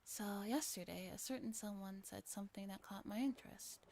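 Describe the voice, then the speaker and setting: A woman voicing a calm, practical teenage boy who explains things matter-of-factly, in a visual novel reading. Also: mid-range voice, a little fast, close to an everyday voice. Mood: composed.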